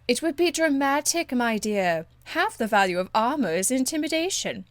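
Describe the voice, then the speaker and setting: A woman's voice: high voice, animated, normal speed, dry recording. A woman voicing a proud, elegant, condescending court enchantress in a video game, with polished, haughty phrasing. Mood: silky, teasing. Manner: haughty, polished, drawling endearments. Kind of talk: video game dialogue acting.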